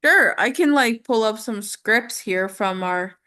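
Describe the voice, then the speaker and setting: Slow, hesitant woman, slightly high voice. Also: animated, sharp tone. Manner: hesitant, thinking aloud. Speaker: voice acting student. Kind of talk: casual chat.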